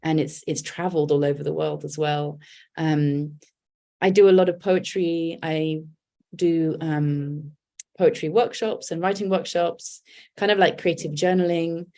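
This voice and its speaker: Low-voiced reflective Englishwoman. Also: fairly even intonation, a little slow, dark tone. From England. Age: 40s. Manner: thoughtful, candid, many restarts and fillers. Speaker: guest, poet and writer. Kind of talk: reflective conversational interview.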